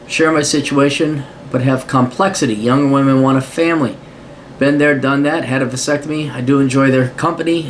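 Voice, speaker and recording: A blunt, profane American man hosts a podcast, reading out a listener's letter and answering it in casual rants. His voice sits in an ordinary middle pitch. A faint hiss of noise runs under the recording. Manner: blunt, swears often, mocking voices for asides. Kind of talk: casual profane advice monologue.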